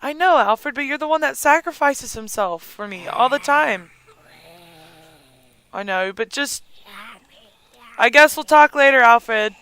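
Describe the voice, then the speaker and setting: Hoarse, squeaky gaming host, slow and giggly. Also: expressive, sharp tone, rough recording. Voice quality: raspy and squeaky. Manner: giggly, rambling, trails off with ums. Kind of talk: casual let's-play commentary.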